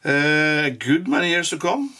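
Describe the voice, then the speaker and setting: A man whose first language is Norwegian, giving a casual firearm review in English with frequent pauses and restarts. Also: fairly high pitch, sing-song, sharp tone. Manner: halting, many fillers and restarts. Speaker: gun reviewer.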